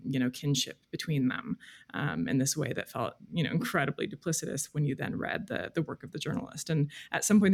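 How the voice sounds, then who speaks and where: A woman speaking as an interview guest, explaining things conversationally in a halting, careful way. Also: deep voice, a little mellow. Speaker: guest, freelance journalist. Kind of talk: thoughtful conversational interview answers.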